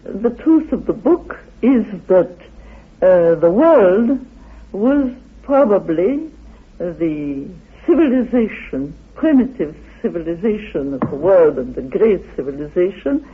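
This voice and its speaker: High-pitched, husky older man speaking slowly. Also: phone-quality sound. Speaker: interviewed author and researcher. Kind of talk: reflective recorded interview. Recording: band-limited old radio interview.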